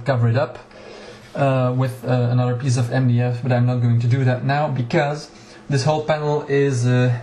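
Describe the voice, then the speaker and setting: A man's voice: medium pitch, a little mellow, a little hiss or hum. Hesitant Dutch man in an echoey room. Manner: hesitant, many fillers and restarts. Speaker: home cockpit builder and vlogger. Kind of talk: casual walkthrough video. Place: small home cockpit room. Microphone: action camera.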